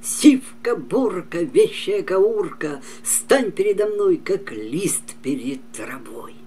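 Scornful storybook dragon, played by an older male narrator, drawn-out commands, big pitch swings, noisy recording. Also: sing-song, a little mellow, exaggerated voice. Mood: commanding. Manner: scornful, declamatory. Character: menacing, haughty male six-headed dragon monster. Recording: clearly noisy home recording.

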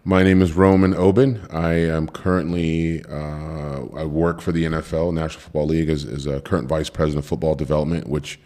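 A man's voice: very deep voice, level tone, brisk, few pauses, warm tone. A middle-aged man from Cameroon, a podcast guest, talking loosely with fillers and repeated words. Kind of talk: relaxed conversational interview.

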